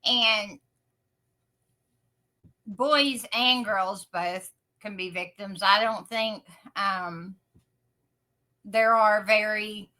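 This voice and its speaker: An American woman co-hosting a podcast in casual conversation. Her voice is bright and forward with a medium pitch, and she takes her time between phrases. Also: extremely slow, pauses often. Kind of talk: casual chat.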